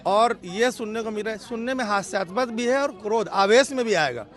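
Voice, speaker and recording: High-pitched, emphatic male activist spokesman. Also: lively intonation, a little fast, fairly bright tone. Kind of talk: on-the-spot news interview statement. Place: outdoors near a police station. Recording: outdoor street with crowd murmur.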